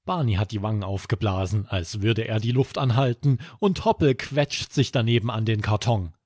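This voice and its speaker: A man's voice: lowish voice, moderate pace, a little mellow, slightly rough, dry recording, clean studio sound. A man narrating a scripted bedtime story for children as the host.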